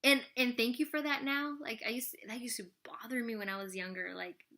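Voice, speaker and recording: Bright-voiced chatty woman in her thirties. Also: fairly high pitch, fairly even intonation, a little fast. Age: 30s. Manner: rambling, full of "like" and "you know". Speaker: vlogger answering viewer questions. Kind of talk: casual chat to camera.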